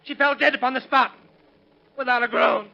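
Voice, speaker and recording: A man's voice: extremely high pitch, fairly even intonation, moderate pace, vocal fry. An actor playing an unhinged, resentful, cowardly husband in theatrical, agitated 1930s radio melodrama style, sneering as he speaks. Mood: haunted recitation. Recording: muffled, noisy vintage radio recording.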